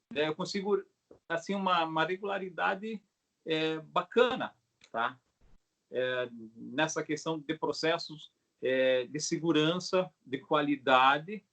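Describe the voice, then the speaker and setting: A middle-aged man with a Brazilian Portuguese accent explains his craft in a casual, halting way, often checking in with short tag questions. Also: on the high side, fairly bright tone. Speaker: craftsman, bow maker being interviewed. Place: video call.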